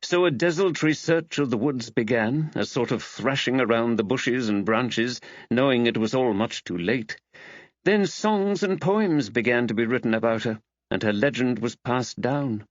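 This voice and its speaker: A man's voice: mid-range voice, normal speed, dry recording, lo-fi sound. A man reading a mystery novel as scripted audiobook narration.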